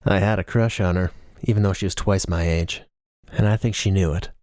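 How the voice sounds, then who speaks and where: Deep-voiced man narrating an audiobook. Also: fairly even intonation, fairly quick, a little mellow, dry recording, studio quality. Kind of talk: scripted first-person novel narration.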